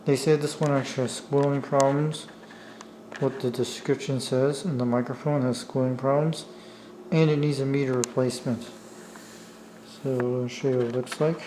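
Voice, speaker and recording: A man with a medium-pitched voice talks casually and without a script, like a hobbyist showing off his gear. His delivery is halting and apologetic as he talks himself through what he is doing. The sound is amateur camera audio recorded indoors, with faint noise. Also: steady tone, warm tone, poor audio. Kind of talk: casual unscripted show-and-tell. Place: indoors at a table. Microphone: handheld camera's own microphone.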